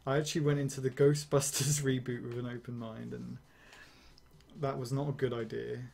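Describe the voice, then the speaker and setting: A young man streaming a game, rambling and ranting casually with swearing and quick laughs. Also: mid-range voice, level tone, gravelly, dark tone. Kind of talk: casual gameplay commentary.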